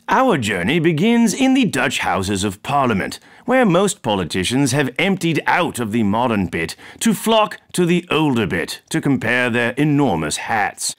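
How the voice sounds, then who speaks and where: An American man, a comedian, narrating a scripted mock nature documentary in a deadpan, mock-grand manner. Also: medium pitch. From United States.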